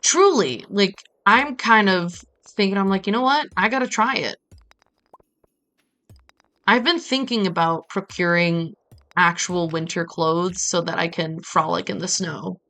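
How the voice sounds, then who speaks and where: A woman from Brazil chatting casually as she streams a game, telling stories in an animated way with playful asides. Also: fairly low pitch, unhurried. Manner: animated storytelling with acted-out quotes and playful asides. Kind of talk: casual chat while playing a game on a livestream.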